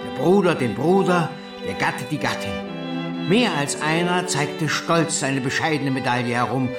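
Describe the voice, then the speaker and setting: A pompous, self-satisfied village pharmacist, played by a male actor, declaims florid prose in a fairly high voice. The radio-drama sound is echoey, with music clearly behind. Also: animated, normal speed, lightly acted. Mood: grandiloquent. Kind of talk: German radio play acting. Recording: echoey voice over loud music.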